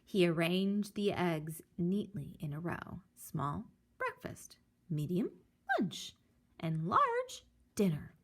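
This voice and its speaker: A woman reading a children's picture book aloud in an animated storytelling style. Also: lowish voice, takes it slowly. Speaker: story reader.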